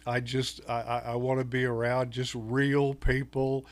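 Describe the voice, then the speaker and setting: An older man from Texas with a Texan accent, a guest on an interview show, talking in a halting way with many fillers and restarts. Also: mid-range voice, level tone. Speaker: podcast guest, author. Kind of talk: casual interview talk.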